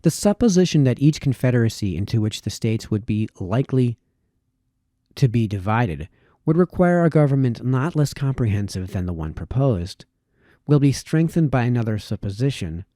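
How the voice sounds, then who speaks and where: A man reading formal essays aloud as narration, with measured, emphatic phrasing and deliberate pauses. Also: medium pitch, dark tone, dry recording, clean studio sound. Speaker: amateur reader of historical essays.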